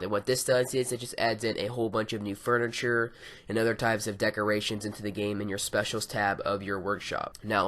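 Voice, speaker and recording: Guy w a raspy sick voice, kinda low, rambly gamer talk. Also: fairly bright tone. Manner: rambling, many fillers and "like"s. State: hoarse, recovering from being sick. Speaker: gaming YouTuber. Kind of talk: casual mod review over gameplay.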